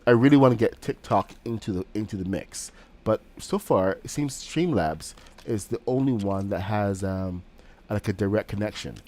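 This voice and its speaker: Male streamer, slightly low voice, has a cold; loose, rambling play-by-play with fillers. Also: normal speed, darkish tone. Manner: rambling, with frequent fillers and restarts. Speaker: game streamer. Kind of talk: casual live-stream commentary while building.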